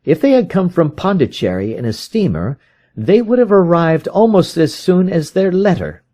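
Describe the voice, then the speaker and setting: A man's voice: high voice, big pitch swings, moderate pace, mellow. A man reading a storybook detective who is keen, confident and methodical, reasoning aloud with emphatic, probing questions.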